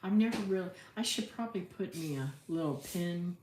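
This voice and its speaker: A woman's voice: on the low side, animated, fairly quick, darkish tone. A middle-aged American woman chatting casually on a livestream, rambling and thinking aloud in a folksy way as she answers viewers. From Florida, United States. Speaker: livestream host, homesteader. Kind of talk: casual livestream chat, reading and answering comments. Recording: roomy echo, faint background noise.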